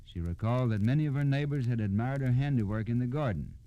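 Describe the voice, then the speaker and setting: A man's voice: medium pitch, expressive, fast talker, highs cut off. An American man narrating from a script as an old-time radio storyteller, in a plain, explanatory way.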